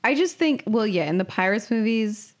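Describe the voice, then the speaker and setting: Woman, casual podcast chat, animated intonation, mid pitch, conversational. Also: normal speed. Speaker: podcast co-host.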